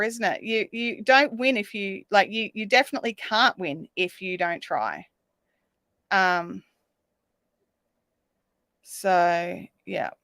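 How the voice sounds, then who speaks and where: A woman hosting a craft livestream, chatting casually and reading out viewer comments while she crochets, her voice slightly raspy. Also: medium pitch, a bit bright, no room sound, clean studio sound. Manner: chatty, reads viewer comments aloud, counts stitches under her breath. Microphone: desk microphone.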